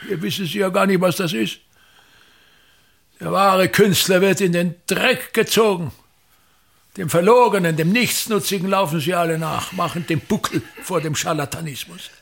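An actor playing a pompous, bitter, aging theatre maker who declaims contemptuous rants with sudden swings in a radio play. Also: male voice, high-pitched, measured pace, creaky, long pauses, a bit bright, breathy edge, exaggerated voice. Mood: bitter ranting.

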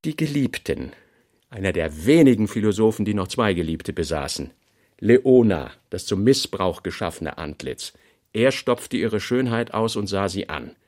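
An actor playing an analytical author reading out notes in a literary radio play, in emphatic, clipped phrases. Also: male voice, lowish voice, very animated, moderate pace, a little mellow.

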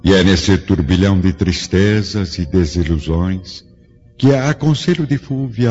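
Male narrator for radio drama, solemn scripted read, deep voice, muffled sound with music underneath. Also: mellow. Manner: solemn, grave, formal. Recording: dull lo-fi studio drama, music behind.

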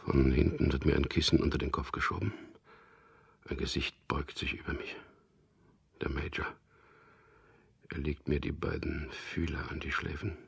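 Captive prisoner narrating in a radio play, super husky n croaky, hushed and terse, stoic. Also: male voice, very deep voice, flat delivery, moderate pace, long pauses, full character voice. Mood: hushed, tense. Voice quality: gravelly, husky, half-whispered. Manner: terse, hushed inner monologue. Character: captive male prisoner narrating his own interrogation, stoically enduring. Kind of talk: first-person radio drama narration in present tense.

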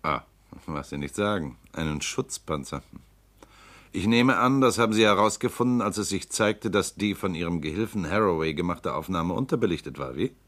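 An actor playing a composed, precise lawyer and political candidate in a radio drama, speaking calmly and politely. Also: male voice, sits low, normal speed. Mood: cool. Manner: calm, precise, coolly polite. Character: suave composed male lawyer-politician suspected robot. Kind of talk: 1960s-70s radio drama acting.

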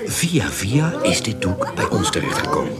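An actor playing a shady, persuasive schemer in an audio drama, talking in a colloquial, insistent, bargaining way. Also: male voice, deep voice, huge pitch swings, moderate pace, over loud music. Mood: evasive. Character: shady, persuasive schemer recruiting an errand man. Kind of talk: Dutch audio drama acting. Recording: loud crowd chatter behind.

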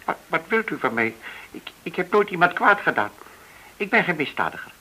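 An actor playing a frightened, cornered banker in a radio drama, halting and anxious as he pleads. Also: on the high side, big pitch swings, bright, forceful tone, heavily acted, rough recording. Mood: terrified. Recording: hissy old radio play recording.

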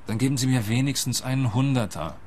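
An actor playing a naive, bumbling detective from Germany, earnest and literal-minded, in a radio play. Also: male voice, mid-range voice, quick pace, a little mellow, close to an everyday voice.

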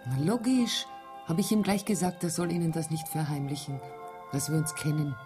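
A woman's voice: deep voice, expressive, normal speed. A wary Czech émigré woman in a radio drama. She is curt and easily irritated, defending herself in accented, non-native grammar. Music plays behind her voice. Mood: defensive, irritated.

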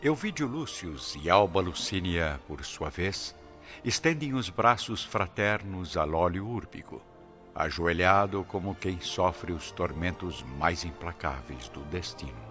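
Male narrator, deep and solemn, dramatic scripted delivery, muffled audio over soft music. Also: lively intonation, measured pace.

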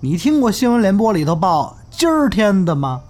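A man's voice: sits high, very animated, normal speed, darkish tone, slight background noise. A man playing an easygoing young crosstalk performer in an audio drama, chatty and teasing. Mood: teasing, animated. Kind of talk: Chinese audio drama acting.

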